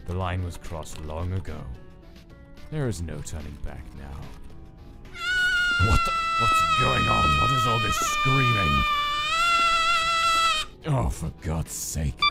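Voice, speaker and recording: A man's voice: sits low, heavily acted. A man playing a cold, irritable skeleton scientist who narrates his log in a formal, precise manner for a comic dub. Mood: exasperated. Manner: formal, precise, terse, exasperated when disturbed. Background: music.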